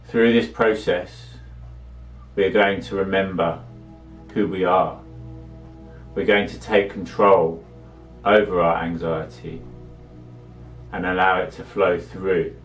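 A man leading a guided meditation with measured, soothing instructions and long pauses, recorded echoey and distant from the microphone. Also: sits low, fairly even intonation, faint music behind.

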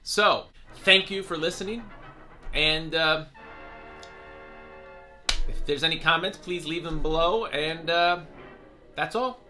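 Middle-aged male vlogger, higher-than-average voice, very sharp edge, casual, self-correcting delivery. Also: slow, pauses often, faint music behind. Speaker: community theater actor documenting rehearsals. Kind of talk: casual unscripted vlog talk. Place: small indoor room with bookshelves.

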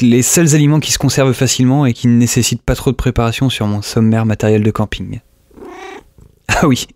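An actor playing a haunted lone survivor who keeps an audio diary, halting and brooding as he repeats his words. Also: medium pitch, darkish tone, no room sound, studio quality, natural voice. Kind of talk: audio drama monologue recorded as a diary.

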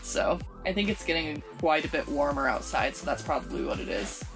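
A woman's voice: sits low, expressive, brisk, gravelly, music in the background. A young American woman chatting casually and explaining her fishing setup to viewers, recorded on an action camera inside a small tent. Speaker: vlog co-host. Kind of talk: casual outdoor chat.

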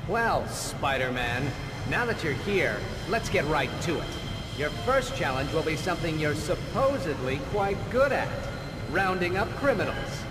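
A man's voice: fairly high pitch, very animated, a little slow, music in the background. Smug showman villain, echoing voice. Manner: smug, showy, taunting. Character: smug, theatrical male special-effects showman and challenger. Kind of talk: video game voice acting.

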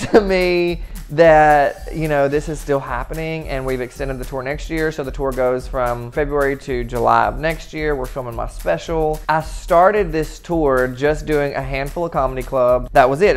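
A young man talking casually and excitedly in a backstage room on a lapel mic, playful and gushing. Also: a little high. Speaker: comedian.

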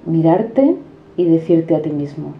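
Low-voiced woman reciting poetry, echoey. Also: expressive. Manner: solemn, measured recitation. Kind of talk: declaimed spoken-word poetry. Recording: dull reverberant recording over music. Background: background music.

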